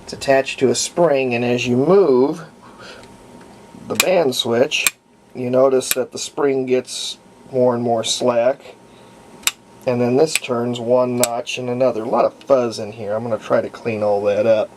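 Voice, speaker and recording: A man's voice: medium pitch, fairly even intonation, unhurried, background noise. A man with a folksy way of talking narrates hands-on repair work casually, thinking aloud. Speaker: radio restoration hobbyist.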